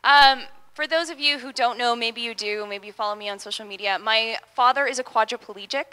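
Bright, chatty young woman emcee. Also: on the high side, brisk. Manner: chatty, upbeat improvising between acts. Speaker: event MC. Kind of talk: hosting a live event. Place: large auditorium stage. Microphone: handheld microphone.

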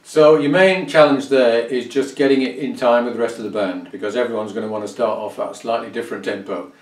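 An older man explains things like an informal music teacher, pausing mid-phrase as he goes. His voice sits at an average pitch. It is picked up from a little way off in a small, echoey room. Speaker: fiddle teacher. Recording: roomy, distant microphone sound.

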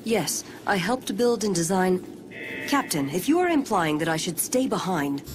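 A woman playing a composed, formal young female Vulcan scientist in a sci-fi game, speaking in a measured, precise way. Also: fairly low pitch, big pitch swings. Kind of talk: scripted sci-fi game acting. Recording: music loud under the voice.

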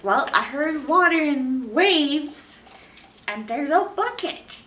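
A woman's voice: sits high, expressive, slow pace, bright, forceful tone. A middle-aged American woman presenting a children's story time with exaggerated expression, as a librarian talking to young children. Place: indoor library room, seated on a couch. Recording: roomy, distant mic, faint noise.

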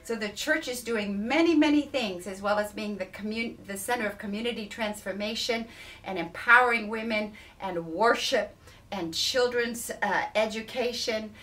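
A woman's voice: medium pitch, moderate pace, fairly bright tone. A woman in her fifties, a charity director, thanking supporters in an earnest, grateful way from an indoor office. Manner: earnest and grateful, with emphatic restarts. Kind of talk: thank-you address to supporters. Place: indoor office beside a wall map.

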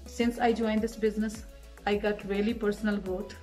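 A woman's voice: mid-range voice, flat delivery, moderate pace. A woman from Punjab, giving an earnest home-video testimonial about her online business, seated in her living room. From India, Punjab. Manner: earnest, plain, speaks in short listed phrases. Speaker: part-time school bus driver and online business owner. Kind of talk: promotional testimonial. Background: soft background music.

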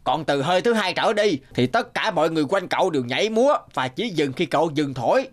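A man voicing a small, kindly old bearded man, gentle and grateful, in an animated fairy tale. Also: fairly high pitch, fast talker.

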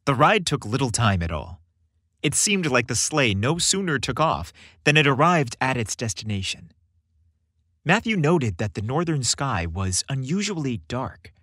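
Expressive man narrating a story, dry studio. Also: mid-range voice, moderate pace, slightly rough, no echo. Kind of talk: scripted story narration, expressive audiobook-like delivery.

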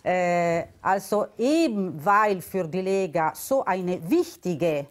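A woman in her fifties whose first language is Italian speaks slowly, with many pauses as she hunts for German words. Her voice sits a little low. She is on a lapel mic in a TV studio debate. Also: lively intonation. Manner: hesitant, searching for German words. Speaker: election candidate. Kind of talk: TV panel debate answer.

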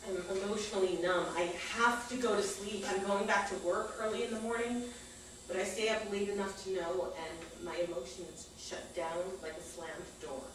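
A woman's voice: medium pitch, steady tone, normal speed. Distant, echoey woman reading onstage. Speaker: performer at a staged reading. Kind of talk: scripted personal storytelling read aloud. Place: small indoor theater stage. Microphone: far camera microphone. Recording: echoey distant stage recording, faint noise.

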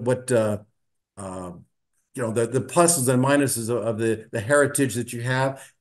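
An older man with an American accent giving a measured, halting personal account as a panelist on a video call. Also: medium pitch. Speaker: panelist sharing personal story. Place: indoor room, video call. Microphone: video call microphone.

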